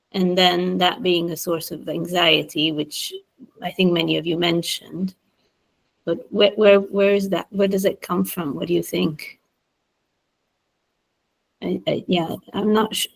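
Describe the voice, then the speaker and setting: Tentative woman with a creaky voice. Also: on the low side, poor audio. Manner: tentative, thinking aloud, repeating words. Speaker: seminar participant asking questions. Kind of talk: informal academic question and discussion.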